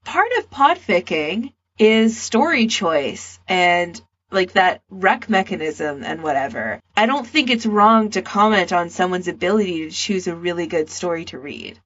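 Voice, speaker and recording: A woman's voice: lowish voice, animated, a little slow, few pauses, fairly bright tone, slightly rough. A woman talking casually in a podcast discussion about fan audio. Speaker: podcast speaker, fan podficker. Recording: dull lo-fi voice recording.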